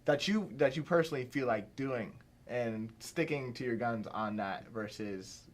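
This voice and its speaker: A young man from Pittsburgh talks casually as an interview guest, at a middle pitch for a man. He rambles, full of "like" and "you know", and often restarts his sentences. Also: a little slow. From Pittsburgh, USA. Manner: rambling, many fillers and restarts. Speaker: filmmaker guest.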